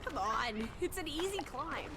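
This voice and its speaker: A woman's voice: high-pitched, animated, a little slow, noisy background. An actress playing a bossy, teasing young female companion in a 1920s mystery video game, with pert old-fashioned slang. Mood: teasing, urging.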